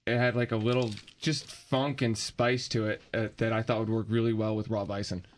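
A man who is a chef, describing his menu in a relaxed, easygoing radio interview. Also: on the low side, fairly quick, slight background noise. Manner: relaxed, easygoing, filler-heavy. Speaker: chef guest.

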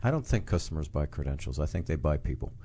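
Brief: male interviewer, very low warm voice, direct probing questions. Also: sing-song, fast talker, a little hiss or hum.

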